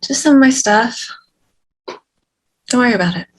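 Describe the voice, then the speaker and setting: Husky, brash hard-drinking mother played by a woman. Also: a little high, unhurried. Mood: defensive. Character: brash, foul-mouthed hard-drinking mother, defensive but doting. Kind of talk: dramatic table read.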